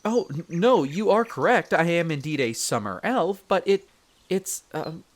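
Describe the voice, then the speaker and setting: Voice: mid-range voice, a little slow. A performer playing a young male elf explorer, a chatty, friendly guide speaking to the listener in an audio roleplay. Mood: flustered. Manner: expressive, chatty, stammers when flustered.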